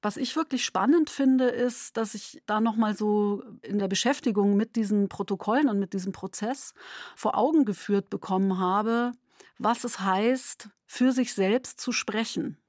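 A woman's voice: medium pitch, measured pace, darkish tone, no room sound, clean studio sound. A woman talking reflectively in an interview about her work. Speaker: radio drama maker.

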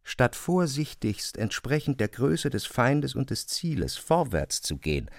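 A man giving a dramatic literary narration for an audio drama, expressive and precise in his diction. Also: mid-range voice, unhurried, creaky, no echo, studio quality.

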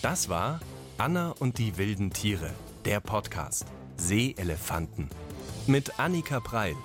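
Guy reading podcast credits, lowish voice, really animated, music behind. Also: slow. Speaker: credits announcer. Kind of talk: scripted podcast outro. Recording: loud music under the voice.

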